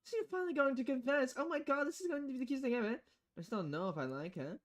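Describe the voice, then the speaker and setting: A woman's voice: sits high, expressive, very fast. A woman streaming a visual novel, reading the dialogue aloud with casual, playful commentary and switching voices between lines.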